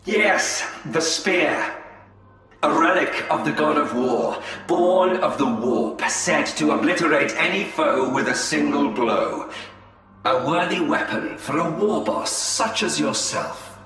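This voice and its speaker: An actor playing a sly, treacherous alien elf spymaster in a video game, speaking in a measured, silky, mocking voice. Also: male voice, fairly high pitch, expressive, fairly bright tone. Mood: silky, flattering. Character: sly, treacherous, smooth-tongued male Eldar spymaster. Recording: cavernous echo, long reverb, music behind.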